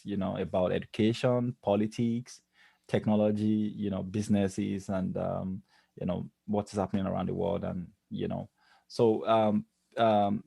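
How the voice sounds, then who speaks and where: A man's voice: lowish voice, gravelly, warm tone, no room sound. A man with a Nigerian accent answering interview questions as a podcast guest, explaining things conversationally with many "you knows". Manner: explanatory, frequent "you know".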